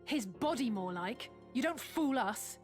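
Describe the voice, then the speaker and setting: A woman's voice: on the high side, animated, measured pace, soft background music. A woman playing a defiant, indignant heroine in a dramatic video game scene.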